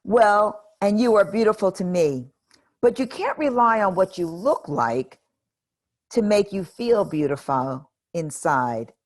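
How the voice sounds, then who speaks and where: A woman reading aloud as a loving mother who gently reassures her daughter. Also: mid-range voice, expressive, slow pace. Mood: tender.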